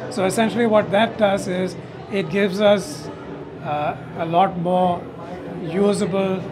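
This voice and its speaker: A man in his sixties explaining technical details in an interview, in a halting way, often checking with "okay". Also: sits high, steady tone, a crowd in the background. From India. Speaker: loudspeaker company owner and designer, interviewee. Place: hotel corridor at an audio show. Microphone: lapel mic.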